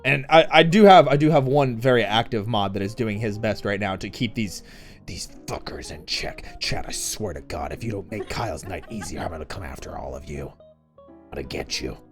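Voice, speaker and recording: A man hosting a casual stream chat, playful and quick to jump into high squeaky exclamations. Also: over music.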